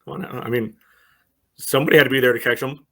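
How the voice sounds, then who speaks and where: A man's voice: medium pitch, steady tone, fast talker, a bit bright. A man giving casual match analysis as a podcast co-host, matter-of-fact and often padding his sentences with "you know".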